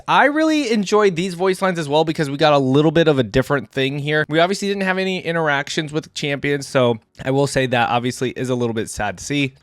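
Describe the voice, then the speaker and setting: A man's voice: mid-range voice, no room sound, studio quality. A man giving casual reaction commentary as a video host, chatting directly to his viewers in a conversational way. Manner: conversational, addresses viewers directly as guys and gang.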